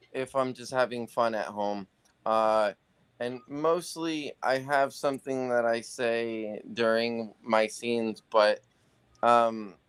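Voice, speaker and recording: A man chatting casually as a guest on a live talk show, laid-back and halting, with lots of fillers. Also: mid-range voice, smooth. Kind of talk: casual chat.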